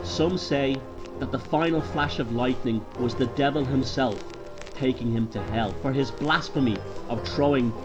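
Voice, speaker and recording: A man's voice: mid-range voice, normal speed, highs cut off. Irish man narrating dramatically over music. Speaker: author and narrator. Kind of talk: dramatic horror storytelling, scripted narration.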